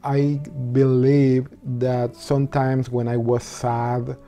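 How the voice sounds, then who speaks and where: A man with a Venezuelan accent, a Spanish speaker, telling his own story in halting English with many pauses and restarts. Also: medium pitch, level tone, mellow, faint music behind. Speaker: interviewee. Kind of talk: personal story interview.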